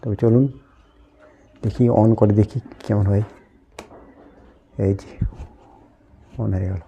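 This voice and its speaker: A man's voice: fairly low pitch, steady tone, slow, gravelly, dull audio, slight background noise. A man giving a casual, plainly explained product unboxing commentary, going item by item. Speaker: host of an unboxing video channel.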